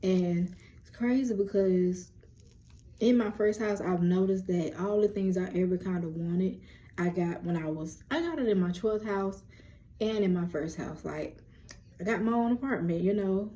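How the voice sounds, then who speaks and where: A young woman with a deep voice, hosting a rambling, casual lunchtime chat on her vlog. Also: moderate pace, mellow. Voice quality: low, deep voice. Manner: chatty, rambling, self-described yapper. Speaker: vlog host.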